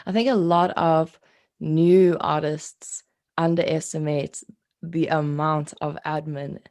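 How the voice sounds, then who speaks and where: A woman's voice: low voice, fairly even intonation, slow, a little mellow. A woman hosting a conversational podcast interview. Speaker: podcast host.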